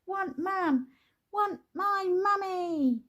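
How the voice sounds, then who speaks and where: A woman's voice: very high-pitched, very slow, fairly bright tone, pitched above the performer's own voice, full character voice. A woman voicing a demanding toddler girl who screams and wails for her mother. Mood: wailing. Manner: shrieking, demanding. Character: toddler girl, clingy and wailing.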